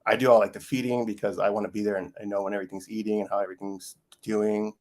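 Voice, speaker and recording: Fast-talking low-voiced guest, halting. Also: male voice, little pitch movement, a little mellow. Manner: fast, halting, lots of "like" and "you know what I mean". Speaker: snake breeder, guest. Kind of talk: casual interview chat.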